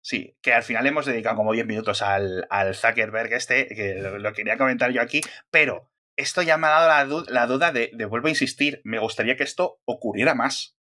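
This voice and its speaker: Man, bright tense voice, animated podcast chatter, jokey asides. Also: medium pitch, fairly quick, hardly any pauses. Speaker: podcast host. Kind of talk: casual tech podcast chat.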